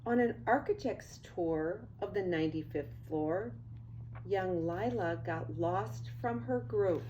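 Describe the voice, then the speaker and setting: An older woman, a grandmother, reading a children's storybook aloud in an expressive, deliberate way. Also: on the low side, dull audio, a little hiss or hum.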